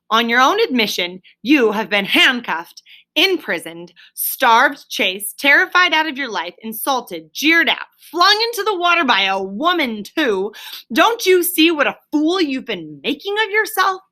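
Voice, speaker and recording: A woman reading a storybook, voicing a stern, exasperated male water rat who scolds with emphatic, drawn-out key words. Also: high-pitched, big pitch swings, sharp tone, pitched above the performer's own voice, heavily acted. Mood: scolding.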